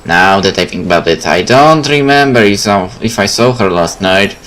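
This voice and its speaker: A man's voice: on the low side, animated, normal speed, fairly bright tone, a little hiss or hum. A man reading a visual novel's narration and dialogue aloud as a let's player, with casual asides and game music behind.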